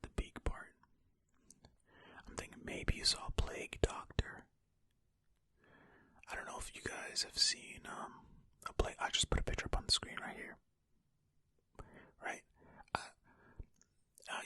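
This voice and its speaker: A fully whispered ASMR voice, breathy and unvoiced, with a bright, crisp edge. The host speaks right up close to the microphone, in a casual way suited to reading stories aloud. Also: extremely high pitch, dry recording. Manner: casual asides between read-out passages. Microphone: close ear-to-ear ASMR microphone.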